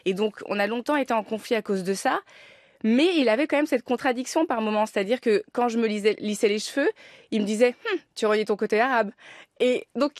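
A woman's voice: a little high, fairly quick, no room sound. A woman in her thirties, a film director guest on a radio interview, talking with many restarts and fillers into a studio mic. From France. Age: 30s. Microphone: studio mic on arm.

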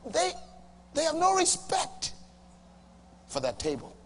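A middle-aged Bahamian man preaching a sermon to a congregation, emphatic, swinging from loud bursts to low asides. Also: wildly swooping pitch, slow. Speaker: pastor. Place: large church auditorium with a congregation. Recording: reverberant hall, faint hum.

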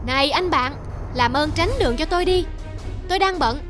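A man's voice: very high-pitched, a little fast, fairly bright tone, soft background music. A man voicing a big, busy elephant in a cartoon, plain-spoken and impatient. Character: big busy elephant, polite until provoked. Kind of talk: cartoon voice acting.

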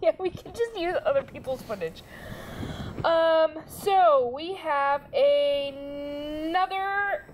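A young woman hosting a casual panel show in an excitable, giggly manner. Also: sits high, takes it slowly, a little hiss or hum, low-quality recording. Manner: excitable, giggly, swears freely. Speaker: host. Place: small stage studio, indoors. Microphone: lapel mic.

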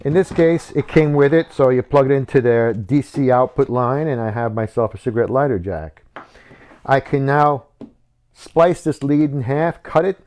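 Middle-aged man explaining, medium-pitched voice. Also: moderate pace, darkish tone. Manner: conversational, step-by-step explaining. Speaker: host of a how-to video channel. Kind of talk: casual explanatory tutorial to camera. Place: wooden home workshop, indoors.

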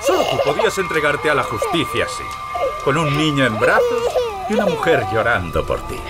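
Villain sheriff: high, wildly swooping, theatrical taunts, audio drama over music. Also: male voice, wildly swooping pitch, brisk. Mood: gloating. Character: gloating, sneering villain sheriff hunting outlaws. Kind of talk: dramatized audio fiction acting.

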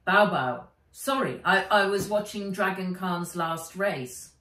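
A British woman voicing a concerned, steady male guardian in a storybook, measured and questioning. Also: lowish voice. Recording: roomy, a little distant from mic.

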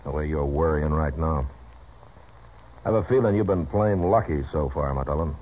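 An American actor playing a stern, seasoned US marshal in a 1950s radio Western, speaking bluntly and with authority. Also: male voice, a bass voice, moderate pace. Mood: warning. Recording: muffled, noisy 1950s radio recording.

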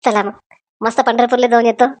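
Lady doing boastful excitable village cartoon character, highish voice, vidarbha marathi accent, dry close sound. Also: brisk, no echo, natural voice. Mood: eager. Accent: rural Vidarbha Marathi. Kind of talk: comic cartoon voice acting in village dialect.

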